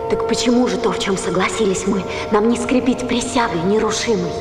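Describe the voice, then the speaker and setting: A woman's voice: medium pitch, a little fast, lightly acted. An actress playing a bold, persuasive ancient Greek woman leading a revolt, declaiming verse theatrically. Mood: assured. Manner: declamatory, rhetorical. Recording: echoey hall sound with music behind.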